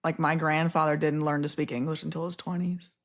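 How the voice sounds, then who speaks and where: A woman's voice: low voice, level tone, fairly quick. A middle-aged woman from Canada chatting casually as an interview guest, with a self-deprecating, talkative manner. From Winnipeg, Canada. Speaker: podcast guest, former family court judge. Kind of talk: casual chat.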